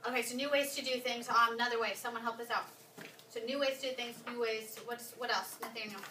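Young female teacher, brisk and questioning, slightly high voice, far-off mic. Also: fairly even intonation. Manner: brisk, prompting students with questions. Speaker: history teacher. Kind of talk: classroom lesson with discussion. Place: classroom. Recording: echoey classroom, distant mic, noisy.

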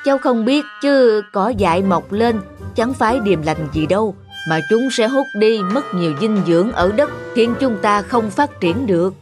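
Female actor as an older cartoon plant, medium pitch, admonishing, stern counsel, clear music bed. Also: expressive, normal speed, few pauses, natural voice. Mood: matter-of-fact warning. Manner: lecturing, admonishing. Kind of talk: children's cartoon dubbing. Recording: music behind the voice.

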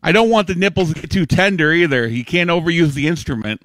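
A man's voice: a little high, lively intonation, quick pace, fairly bright tone, dry recording. An American man co-hosting a comedy show, tossing out casual, playful quips with a mock-serious manner. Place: basement at home.

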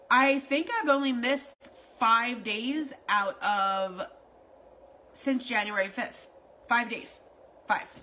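A woman's voice: fairly high pitch, sharp tone, slight background noise, poor audio. A woman in her forties talking casually and repetitively on a phone live stream from her home kitchen, promoting a wellness product in a rambling, salesy way. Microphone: phone camera at arm's length.

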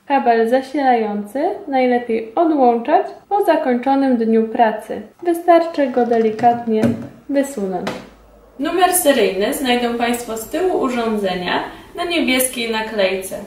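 A woman in her thirties giving formal, measured scripted instructions as a trainer in a small indoor room. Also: fairly high pitch, animated, a little mellow, slight background noise. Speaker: instructor presenting a beauty device. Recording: roomy echo, distant mic.

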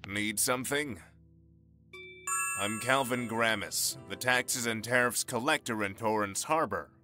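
An actor playing a helpful, plain-spoken tax collector in a fantasy game dub. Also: male voice, mid-range voice, unhurried, music in the background, natural voice. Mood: helpful, matter-of-fact. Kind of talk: video game character dub.

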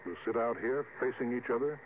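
A stern starship captain played by a man speaks with deliberate authority in a mid-pitched voice. It is classic sci-fi radio drama, heard through a very narrow old recording with light noise. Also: natural voice. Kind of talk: 1950s radio drama acting. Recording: phone-like vintage radio recording, faint hiss.

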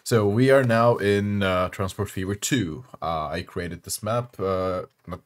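A young Turkish man with a Turkish accent, speaking English as a second language, hosting casual gameplay commentary with many pauses and fillers. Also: deep voice. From Turkey. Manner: halting, many fillers and restarts. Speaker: channel host.

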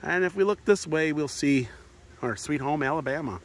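A man's voice: a little high, moderate pace. A middle-aged American man giving an easygoing, casual walkthrough narration as a travel vlogger, with short musing asides. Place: outdoors at a mountain garden and in narrow rock crevices. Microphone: phone held at arm's length or in hand.

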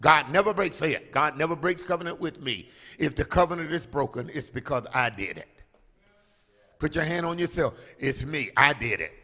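A man's voice: a little high, moderate pace. An older man pastor preaching a sermon from a pulpit with a handheld microphone, calling out to the congregation and drawing out key phrases for emphasis. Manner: preaching with call-and-response, rising to loud emphatic proclamation. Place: large church sanctuary, at a pulpit. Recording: long reverb of a large hall.

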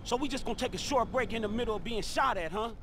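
A man's voice: extremely high pitch, fast talker, fairly bright tone, a little hiss or hum. A man playing a wary, exasperated young street hustler in a video game, with a Southern California American accent and slangy, sarcastic, complaining delivery. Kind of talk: video game cutscene acting.

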